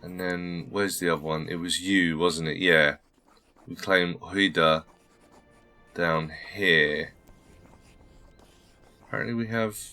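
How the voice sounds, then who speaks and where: Deep, bright-toned gaming host. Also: male voice. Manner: polite, formal greetings, thinking aloud. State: slightly under the weather. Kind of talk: casual let's-play commentary.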